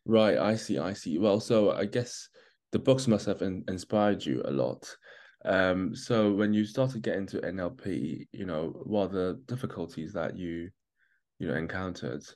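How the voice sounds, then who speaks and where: A man's voice: low-pitched, normal speed, darkish tone. A man hosting a podcast interview, listening attentively, giving frequent short acknowledgements and asking questions.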